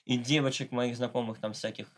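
A man's voice: mid-range voice, fairly even intonation, a little fast. A man giving a casual, slangy and ironic interview as a podcast guest. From Russia, Moscow. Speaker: interview guest, satirical blogger.

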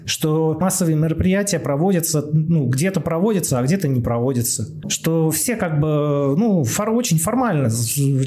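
A man's voice: on the high side, warm tone. A man hosting a casual, improvised podcast in a rambling, blunt way, repeating words for emphasis. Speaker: podcast host, doctor.

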